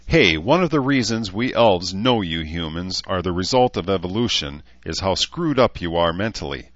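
A man's voice: deep voice, animated, normal speed, a bit bright, no echo, lo-fi sound. A man voicing a blunt, wise but caring elf woman who talks in clipped, broken phrases, in an audiobook story. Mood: blunt, intense.

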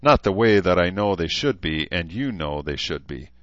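A man voicing a thoughtful, principled leader who speaks in a measured, earnest way. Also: deep voice, expressive, fairly bright tone, no echo, highs cut off.

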